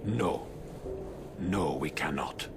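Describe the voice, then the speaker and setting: A man playing a wise, grave, elderly rat father in a video game, solemn and measured, with a gravelly voice. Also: very deep voice, animated, background noise, heavily acted. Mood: grave, worried. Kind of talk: video game cutscene acting.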